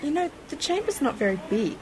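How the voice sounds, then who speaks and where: A woman's voice: high voice, big pitch swings, brisk, slight background noise, poor audio. A woman playing a curious, sceptical young niece in an amateur science fiction radio play, speaking in a questioning, matter-of-fact way. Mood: insistent, practical.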